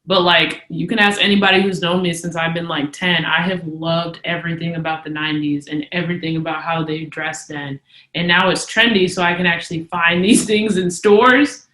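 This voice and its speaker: A woman's voice: on the low side, fairly even intonation, normal speed, bright, forceful tone, reverberant, poor audio. A young woman co-hosting a casual podcast who speaks candidly and emphatically and says "like" a lot. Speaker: podcast co-host.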